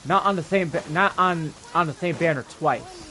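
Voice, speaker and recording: A man chatting casually as a game livestreamer, rambling in a halting way and repeating himself. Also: fairly high pitch, pushed and bright, a little hiss or hum, low-quality recording.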